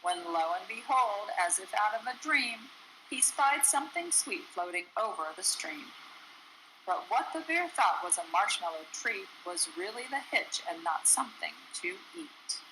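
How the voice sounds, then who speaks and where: A woman reading a rhyming children's picture book aloud in an expressive, sing-song storytelling manner. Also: medium pitch, measured pace, a little hiss or hum, low-quality recording. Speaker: storybook reader.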